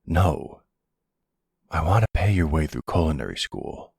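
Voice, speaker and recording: A man playing an older, calm and commanding mafia boss with a low husky voice, speaking deliberately in an intimate roleplay audio drama. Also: no room sound, clean studio sound, exaggerated voice. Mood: calm, sincere. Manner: measured, deliberate, authoritative. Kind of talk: ASMR boyfriend roleplay acting.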